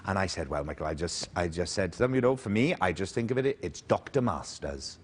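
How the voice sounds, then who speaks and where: A man's voice: sits low, lively intonation, a little fast, breathy edge, pitched below the performer's own voice. A man with a Welsh accent imitating his fond, chatty older mother. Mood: doting.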